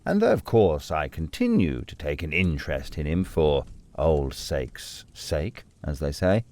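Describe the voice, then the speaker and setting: Man acting very deep-voiced, boisterous old doctor. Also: sing-song, unhurried, darkish tone, full character voice. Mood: indignant. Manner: theatrical, decided, indignant. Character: hearty, boisterous, opinionated old gentleman doctor. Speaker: voice actor in audio drama. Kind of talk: dramatic audio drama dialogue.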